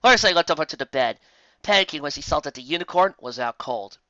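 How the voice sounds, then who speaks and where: An animated storyteller reads scripted fiction with emphatic, dramatic phrasing. The voice is bright and lively in inflection, recorded close and dry with slightly dull, lo-fi sound. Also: medium pitch, fast talker, no room sound. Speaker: narrator.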